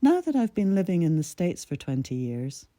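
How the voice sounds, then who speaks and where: Very dark-voiced woman in her sixties. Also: lowish voice, sing-song, dry recording, studio quality. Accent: mid-Atlantic with a Scottish lilt. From Scotland. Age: 60s. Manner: measured, reflective storytelling. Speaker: narrator of her own essay. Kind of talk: scripted personal essay reading.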